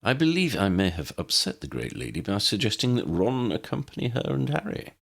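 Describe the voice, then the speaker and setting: A jovial older gentleman acted by a male narrator in a storybook reading. He is blunt and grandly formal, never apologetic, with a gravelly tone and lively swings of pitch. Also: fairly quick, heavily acted. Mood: grandly confiding. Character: blunt, jovial older uncle, cake-loving gentleman scholar.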